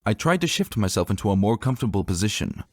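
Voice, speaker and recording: A man reading a scripted first-person story in an expressive, reflective manner, as a dramatic narrator. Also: on the low side, quick pace, a little hiss or hum.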